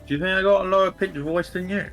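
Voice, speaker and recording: A young man talking easily over a voice call. His delivery is relaxed and rambling, with plenty of "like". Game music plays clearly in the background. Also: high-pitched, quick pace. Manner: laid-back, rambling, fills with "like". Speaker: friend on voice chat. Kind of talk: casual chat.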